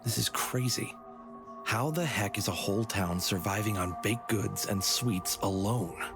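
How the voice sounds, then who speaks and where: A man's voice: on the low side, measured pace, over music. A man playing an earnest, upbeat, scheming leader of adventurers in a comedy audio drama. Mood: troubled.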